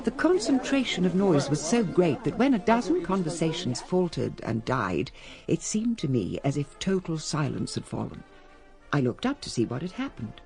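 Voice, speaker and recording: An actress playing a composed, genteel middle-aged English lady in a radio drama, speaking with measured grace. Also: deep voice, a little mellow. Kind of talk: radio drama acting and first-person narration.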